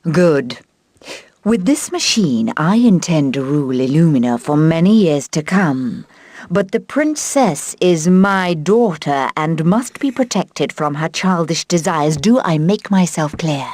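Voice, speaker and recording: Low-voiced, imperious queen. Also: lively intonation, a little mellow, no echo. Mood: stern. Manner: commanding, measured. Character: stern, imperious queen and mother. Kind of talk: video game cutscene acting.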